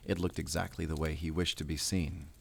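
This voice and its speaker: A man playing a brooding, world-weary werewolf hunter in an audio roleplay, speaking in a measured, solemn, introspective way. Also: very deep voice, little pitch movement, gravelly, a little hiss or hum, heavily acted. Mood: solemn storytelling. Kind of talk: cinematic audio roleplay acting.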